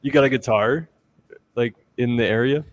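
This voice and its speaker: A man's voice: medium pitch, normal speed. A man hosting a livestream in casual chat, informal and encouraging with his guests. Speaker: livestream host.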